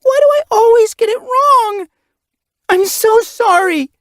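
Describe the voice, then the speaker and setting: A man's voice: very high voice, moderate pace, darkish tone, dry recording, clean studio sound, voice pushed up. A man voicing an anxious, dreamy young female cat who talks in breathless apologies. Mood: remorseful.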